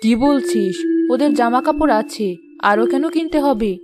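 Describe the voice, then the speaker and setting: A woman playing a gentle, principled village mother in a cartoon moral story, speaking softly and earnestly. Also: high-pitched, normal speed, natural voice. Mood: patient, firm.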